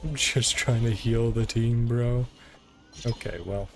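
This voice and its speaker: A man's voice: mid-range voice, fairly even intonation, slow, slight background noise. A man giving casual gameplay commentary, thinking aloud in short, musing fragments. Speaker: gamer commenting on own playthrough. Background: game music.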